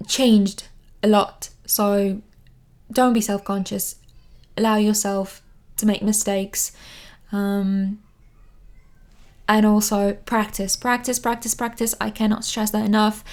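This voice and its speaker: A woman around thirty, not a native English speaker, giving earnest advice to camera, hesitant with many pauses and restarts. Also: mid-range voice, darkish tone. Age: 30s. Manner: earnest, repeats words for emphasis. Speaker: vlogger. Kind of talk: casual advice talk to camera. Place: living in London.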